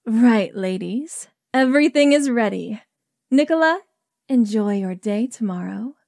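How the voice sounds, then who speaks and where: A woman playing a brisk, friendly colleague in an audio drama. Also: a little high, expressive, vocal fry, a little mellow, no echo, studio quality.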